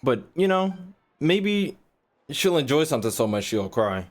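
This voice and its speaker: A man's voice: fairly high pitch, sing-song, measured pace. An American man reacting casually as he streams a game, blunt, profane and slangy, sometimes muttering to himself. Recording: game music behind.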